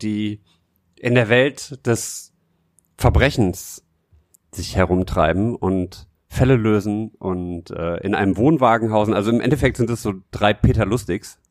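A man co-hosting a podcast in an informal, halting way, searching for his words as he chats. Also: fairly low pitch, a little mellow, no room sound, studio quality. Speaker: podcast co-host. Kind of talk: casual chat.

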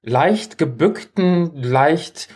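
A man's voice: on the high side, expressive, very slow, a little mellow, slightly rough. A man bantering casually as a podcast co-host in a playful, teasing way.

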